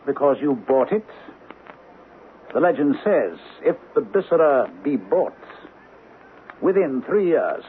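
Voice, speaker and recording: An actor playing a grave, fearful British colonial gentleman, urgently and portentously warning his friend in an old-time radio drama. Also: fairly high pitch, sing-song, slow, long pauses. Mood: grave, ominous. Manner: urgent, portentous, insistent, formal phrasing. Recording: noisy, phone-like old radio recording.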